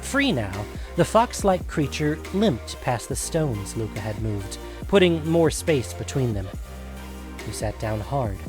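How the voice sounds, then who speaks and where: A man narrates a scripted fantasy story in a medium-pitched voice. His delivery is measured and dramatic, shaped for storytelling. Faint music plays underneath him. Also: big pitch swings.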